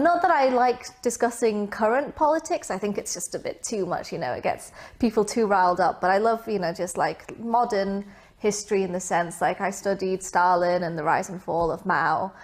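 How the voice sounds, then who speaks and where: A young woman from Vietnam, raised abroad, answering interview questions in a chatty, casual way with many fillers and asides. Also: medium pitch.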